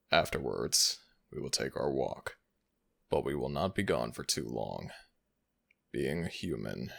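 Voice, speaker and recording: A man voicing an ancient jackal god of the dead in an ASMR audio roleplay, commanding yet tender, in a deep, slow, gravelly voice. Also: a bit bright, heavily acted.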